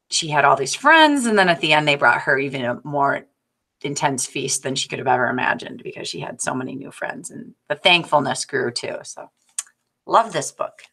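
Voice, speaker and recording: A woman's voice: sits low, sharp tone. A middle-aged woman explaining things informally as a teacher in a discussion group, on a video call from a small office. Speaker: teacher sharing a picture book in a discussion group. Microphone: computer mic on a video call.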